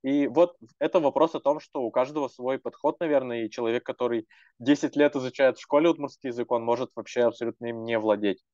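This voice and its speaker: Young male activist, earnest, rambling talker, mid-pitched, dry and slightly muffled recording. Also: quick pace, warm tone, no echo. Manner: earnest, rambling with many fillers and restarts. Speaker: language activist. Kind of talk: casual panel talk.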